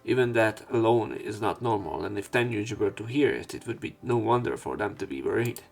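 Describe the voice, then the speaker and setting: Low, creaky-voiced man narrating. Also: expressive, brisk, faint music behind. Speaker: let's play narrator. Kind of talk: reading visual novel narration aloud.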